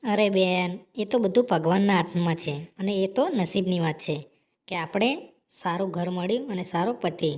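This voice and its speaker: A woman voicing a hospitable, frank married sister bird in an animated moral story, chatty and full of advice. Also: lowish voice, normal speed, in a large hall, lightly acted. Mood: matter-of-fact.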